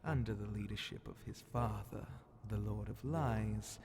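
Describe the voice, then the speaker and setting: A scheming, condescending demon Grand Vizier in a dark fantasy ASMR roleplay. The voice is soft and dark, slipping between breathy whisper and heavy gravelly creak. Every phrase is drawn out very slowly, with theatrical, silky menace. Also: male voice, on the low side, a little hiss or hum. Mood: sly storytelling.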